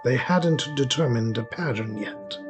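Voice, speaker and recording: A man's voice: medium pitch, measured pace, soft background music. A man reading scripted narration for a fantasy story, as its narrator.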